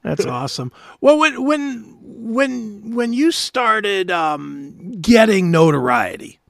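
A male host asks questions in a casual, conversational way. His voice is high for a man and moves around in animated swings, recorded dry with no room sound. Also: takes it slowly, creaky, no room sound. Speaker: talk show host.

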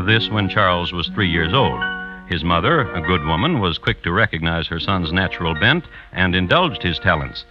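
A man giving wry, mock-serious scripted narration for an old-time radio drama. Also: deep voice. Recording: dull vintage radio recording.

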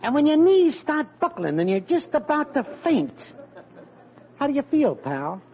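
Cocky schoolboy stage manager, high lively voice, smug false helpfulness, vintage radio comedy. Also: male voice, measured pace, heavily acted. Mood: slyly unsettling. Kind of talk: 1940s radio comedy acting. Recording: muffled old radio recording.

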